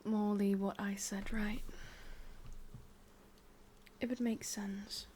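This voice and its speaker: A woman with an English accent voicing a sadistic, lovesick witch in a whispered, intimate ASMR roleplay, murmuring slowly and haltingly. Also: medium pitch, flat delivery, mellow, slight background noise, natural voice. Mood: conflicted, tender menace. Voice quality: breathy, whispery. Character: sadistic, lovesick young witch, wistful and possessive.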